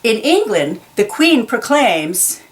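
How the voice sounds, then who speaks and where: A woman's voice: on the high side, very animated. A woman reading a children's picture book aloud, carefully stressing key words and explaining them simply. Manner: slow, deliberate, enunciates key words for young listeners.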